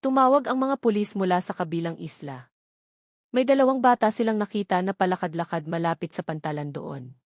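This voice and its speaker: A Filipino woman playing a calm, hesitant village captain in an audio drama, measured and cautious as they give news. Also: on the low side, no room sound, clean studio sound, natural voice.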